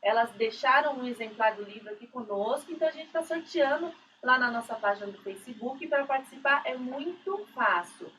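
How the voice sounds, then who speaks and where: A woman chats casually on a radio show. Her voice is high and very bright, heard slightly off the mic. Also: expressive, a little fast, few pauses. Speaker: co-host of a women's radio talk show. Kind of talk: casual chatty radio hosting. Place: radio studio. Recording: distant mic, some background noise.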